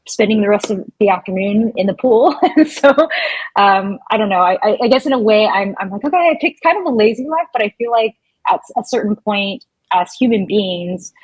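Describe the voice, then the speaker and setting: A woman with a slightly raspy, husky voice chats as a guest on a podcast. She is informal and works out her thoughts as she speaks, in a dry sound free of room echo. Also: mid-range voice, fairly quick, darkish tone. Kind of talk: casual interview chat.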